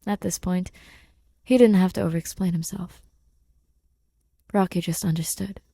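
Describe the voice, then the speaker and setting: A woman's voice: fairly low pitch, steady tone, normal speed, dark tone, breathy edge, no room sound. A woman reading scripted fiction expressively as an audiobook narrator, close to the microphone. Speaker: podfic narrator.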